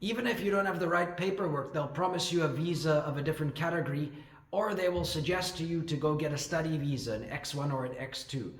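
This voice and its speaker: Man, higher-pitched voice, casual advice, rambling with fillers, echoey room. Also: fast talker. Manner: rambling, with frequent fillers and restarts. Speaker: ESL teacher and channel host. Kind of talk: casual advice talk to camera.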